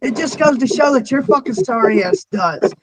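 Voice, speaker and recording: A man's voice: very high voice, lively intonation, a loud crowd. A man in his twenties co-hosting a casual fan interview, blunt and heavy on the swearing. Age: 20s. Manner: profane and blunt, quick to rant. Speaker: podcast co-host.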